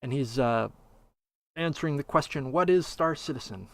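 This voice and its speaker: A man's voice: medium pitch, moderate pace, creaky. A man hosting a podcast, chatting casually and offering commentary into a streaming microphone. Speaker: podcast host.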